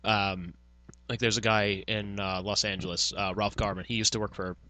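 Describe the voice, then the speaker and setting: Guy on a podcast, kinda low but punchy bright voice, rambly casual talk, swears a lot. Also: flat delivery, moderate pace, no echo. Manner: profane, rambling storytelling with frequent filler words. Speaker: podcast co-host. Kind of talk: casual banter.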